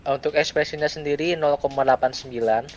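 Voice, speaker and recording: A young adult man gives a step-by-step software tutorial, speaking in short, halting phrases with pauses. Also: on the high side, fairly even intonation, lo-fi sound. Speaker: student presenter giving a software tutorial. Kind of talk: step-by-step screen tutorial, unscripted. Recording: clear background noise.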